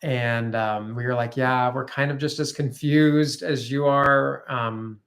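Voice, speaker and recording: A man's voice: mid-range voice, steady tone, slow pace, a bit bright. A man in his thirties co-hosting a casual live chat, reading viewer comments in an upbeat, talkative way with easy laughs. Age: 30s. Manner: chatty and upbeat, laughs easily. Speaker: co-host of a live chat stream. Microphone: phone front camera.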